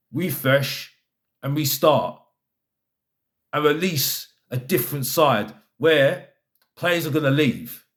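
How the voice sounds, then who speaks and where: A man giving an opinionated football fan rant, exasperated and emphatic, repeating his phrases to drive points home. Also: fairly high pitch. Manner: exasperated, fed up.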